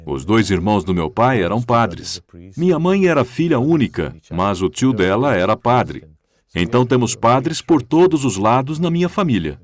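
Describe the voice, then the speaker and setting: A man with a Brazilian Portuguese accent voicing an interviewee's answers in a dubbed interview, speaking in a measured, reflective way. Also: medium pitch, big pitch swings. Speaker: interviewee voice-over.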